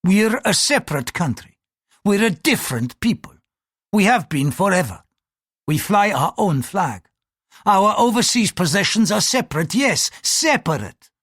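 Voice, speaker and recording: An audiobook reader voicing an irritable, fanatical Portuguese priest who speaks in an impatient, accusing, forceful way. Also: medium pitch, sing-song, measured pace, husky, no room sound, clean studio sound, voice pushed up. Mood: indignant, bitter.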